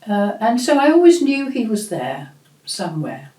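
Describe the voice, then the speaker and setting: An older woman host recalling family memories in a slow, reflective storytelling manner, with frequent pauses and fillers. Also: a little high, sing-song, dark tone. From England. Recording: echoey room.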